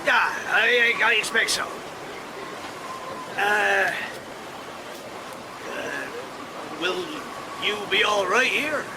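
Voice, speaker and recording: A man playing a gruff, blunt sailor in a period radio drama. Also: sits high, lively intonation, very slow, long pauses, full character voice. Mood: uneasy, hesitant. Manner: barking orders, blunt, colloquial. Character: gruff, rough sailor bossing his crew. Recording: loud outdoor sea and crew noise.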